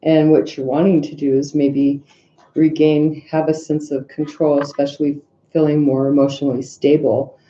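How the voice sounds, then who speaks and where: A woman's voice: sits low, flat delivery, moderate pace, dark tone, a little hiss or hum. Nasal, congested woman reading tarot. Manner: rambling, filler-heavy, hums to herself. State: congested sinuses. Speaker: tarot reader. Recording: echoey room, dull lo-fi sound.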